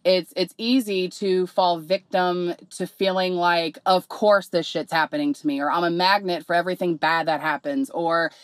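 A young woman, slightly hoarse, hosting her podcast in candid, rambling casual talk full of fillers. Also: fairly low pitch, fairly even intonation, normal speed, hardly any pauses, no room sound. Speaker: podcast host.

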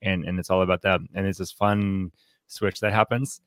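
A man co-hosting a casual book discussion, speaking haltingly and repeating words as he thinks aloud. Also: low voice, level tone, dry recording, clean studio sound. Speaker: podcast co-host and writer.

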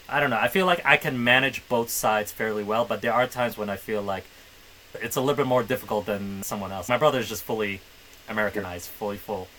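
Bright-toned, reflective man. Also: lowish voice, brisk, slight background noise, low-quality recording. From Middle East. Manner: reflective, hedging with "I think". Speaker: podcast co-host. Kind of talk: casual conversation.

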